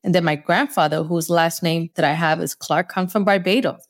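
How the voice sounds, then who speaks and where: A middle-aged woman who grew up speaking Spanish, answering interview questions in a frank, steady way. Her voice sits low and carries a gravelly texture. She laughs easily between thoughts. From Spanish-speaking household in the US. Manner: frank, measured, laughs often. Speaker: guest. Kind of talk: interview conversation.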